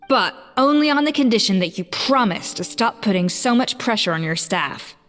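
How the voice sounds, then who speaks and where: Earnest young game heroine, reverberant. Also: female voice, fairly high pitch, animated, moderate pace, a bit bright, soft background music. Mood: resolute, composed. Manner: dramatic, earnest, firm, sighing. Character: earnest, proud young women of a cooking contest team. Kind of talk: video game voice acting.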